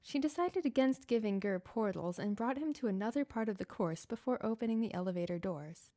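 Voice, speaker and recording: A woman's voice: on the high side, lively intonation, brisk, dark tone. Woman reading a fan story aloud. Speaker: fanfiction reader. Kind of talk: podfic story narration.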